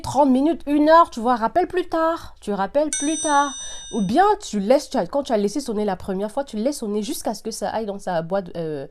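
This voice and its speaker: A woman hosting a casual vlog, talking straight to her viewers in an animated, insistent way with repeated phrases and bits of English slang. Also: mid-range voice. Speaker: vlog host giving opinions to viewers. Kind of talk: casual direct-to-viewer chat.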